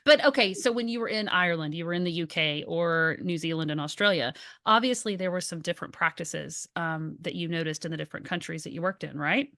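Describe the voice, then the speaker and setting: Southern American woman, low dry voice. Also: sharp tone, no room sound. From Southern United States. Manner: friendly, quick affirmations and laughter. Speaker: podcast host. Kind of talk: interview questions.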